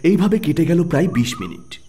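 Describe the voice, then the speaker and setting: A man's voice: a little high, huge pitch swings, brisk, gravelly, mellow, slight background noise. A man reading a detective story as a dramatic scripted narration.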